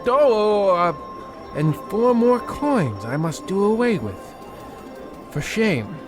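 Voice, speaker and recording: A man playing a pompous, excitable husband in a mock old-time radio comedy, theatrical and stammering when flustered. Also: high-pitched, slow, over music, heavily acted. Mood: mock woeful. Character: Percival, pompous, excitable husband with a gambling weakness.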